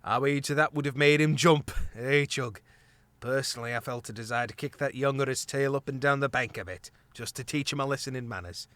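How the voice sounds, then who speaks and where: Casting: steady mouse warrior leader, plain and level, crisp tone, read by a man. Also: medium pitch, brisk, no echo, close to an everyday voice. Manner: steady, weary.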